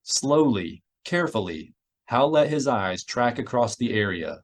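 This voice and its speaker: Male narrator for sci-fi audiobook, mid-pitched, highly varied intonation. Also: lively intonation, measured pace. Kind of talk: scripted narration of a sci-fi novel, with character voices.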